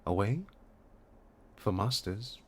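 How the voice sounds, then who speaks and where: A man's voice: on the low side, slow, a bit bright. An actor playing an evasive, withdrawn man in a strained relationship, speaking tersely and noncommittally in naturalistic audio drama style. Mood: guarded.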